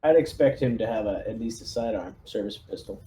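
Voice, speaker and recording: A man's voice: on the low side, brisk, mellow. A man making casual remarks during a tabletop game. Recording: faint background noise.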